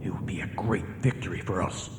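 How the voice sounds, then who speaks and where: An earnest prisoner in echoey reverb. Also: male voice, low-pitched, brisk, in a whisper. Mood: hushed, urgent. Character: earnest, devoted Confederate prisoner of war, husband plotting escape. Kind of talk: radio theatre acting. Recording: echoey hall reverb, faint noise.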